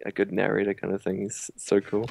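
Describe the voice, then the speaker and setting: A man's voice: on the low side, monotone, brisk, creaky, a little mellow. A young man with a New Zealand accent joking around while playing a game with a friend. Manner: laid-back, joking. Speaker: gamer, co-host. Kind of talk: casual let's play chat.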